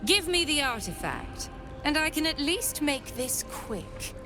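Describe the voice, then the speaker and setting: Imperious game villainess: older cult high priestess, haughty menace, slow and measured delivery. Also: female voice, very animated, over music, exaggerated voice. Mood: gloating, menacing. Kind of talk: dramatic video game acting.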